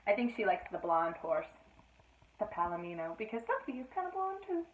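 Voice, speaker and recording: A woman reading a children's story aloud in an expressive teacherly style, with playful asides to her listeners. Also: medium pitch, fairly quick, slight background noise. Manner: addresses listeners with playful questions, dramatic emphasis. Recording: roomy, echoey, narrowband sound.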